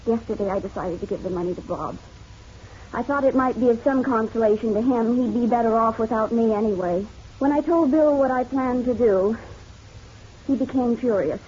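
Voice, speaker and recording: An actress playing a distraught, guilt-ridden wife in a melodramatic radio drama, halting and emotional. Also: female voice, fairly high pitch, a little mellow, lightly acted. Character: distraught, guilt-ridden married woman confessing to a detective. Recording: dull lo-fi radio drama, faint noise.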